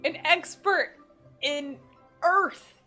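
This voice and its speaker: Female game streamer: high pitch, casual and playful, faint music behind her. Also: extremely slow, bright, forceful tone. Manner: giggly, playful. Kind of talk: casual gameplay commentary.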